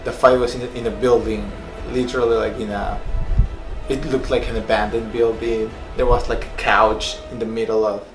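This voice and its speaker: A man's voice: lowish voice, flat delivery, moderate pace, echoey room, music in the background, poor audio. A young man from Peru, a personal trainer telling his life story in a loose, rambling way, full of fillers. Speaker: personal trainer and former fighter. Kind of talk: casual personal story to camera.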